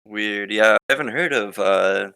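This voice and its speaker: Male tabletop player, low fry-laden voice with a forceful edge, casual and hesitant, dry sound. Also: unhurried, dry recording. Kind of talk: casual in-character tabletop roleplay chat.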